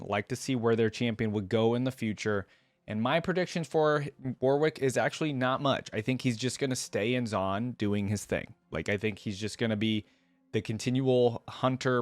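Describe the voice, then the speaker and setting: A man's voice: on the low side, dry recording. A man hosting a reaction video, talking casually to viewers and loosely thinking aloud in a conversational way. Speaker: host of reaction video channel.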